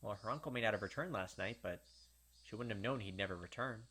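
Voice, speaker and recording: A man's voice: fairly low pitch, fast talker, bright, forceful tone, a little hiss or hum. A young man hosting a gaming video, reading story text aloud with casual, filler-heavy asides.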